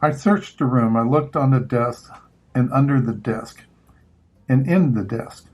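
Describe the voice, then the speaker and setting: An older man reading a children's storybook aloud in short, measured phrases with pauses between them. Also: medium pitch, darkish tone, slight background noise, rough recording. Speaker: grandfather reading picture books aloud.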